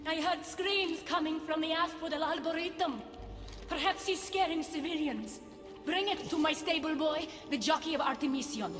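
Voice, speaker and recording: A woman's voice: sits high, moderate pace, music in the background, close to an everyday voice. A woman playing a haughty, scornful goddess queen in a video game, speaking with imperious poise. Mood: haughty, disdainful. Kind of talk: video game cutscene acting. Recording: echoing hall with long reverb.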